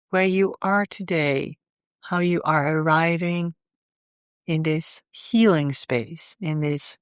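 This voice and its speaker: A woman leading a guided meditation in a soothing, unhurried way, often pausing in the middle of phrases. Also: lowish voice, clear, resonant tone, no room sound, clean studio sound.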